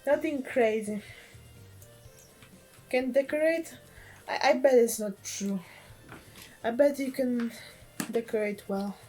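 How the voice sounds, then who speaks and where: Female game streamer, Polish accent, unhurried and soft-edged, fairly high voice, easygoing chat with faint music. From Poland. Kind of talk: casual livestream game chat.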